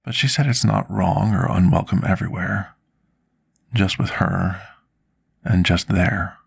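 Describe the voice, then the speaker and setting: A man playing a scarred, remorseful potion master in an intimate ASMR roleplay, speaking formally and deliberately like someone confessing his past. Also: low voice, creaky, slightly rough, dry recording, heavily acted. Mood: reflective. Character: scarred male potion master, devoted, remorseful, soft-spoken servant.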